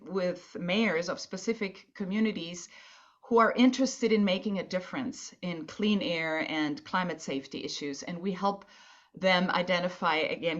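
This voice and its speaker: A woman's voice: on the low side, a bit bright, muffled recording. Woman speaking earnestly on a panel. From Colombia. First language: Spanish. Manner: earnest, with frequent restarts and repeated words. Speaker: environmental advocacy panelist. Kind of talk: conference panel talk.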